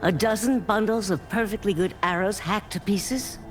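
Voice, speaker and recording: A woman's voice: mid-range voice, moderate pace, close to an everyday voice. A woman playing a theatrical, commanding member of a sisterhood in a fantasy video game. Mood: dismissive. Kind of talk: dramatic video game voice acting. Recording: music underneath the voice. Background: game music.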